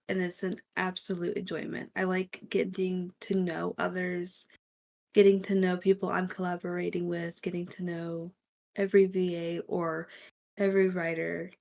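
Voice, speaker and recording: A woman gives her answers as a podcast panelist in short, measured phrases. Her voice is slightly low and creaky, with little rise and fall. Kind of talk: casual panel discussion.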